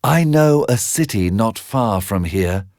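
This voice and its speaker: A man voicing a fisherman's soul in a bedtime story, a calm, sly tempter with a coaxing, soothing delivery. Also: on the low side, slow, no echo, clean studio sound.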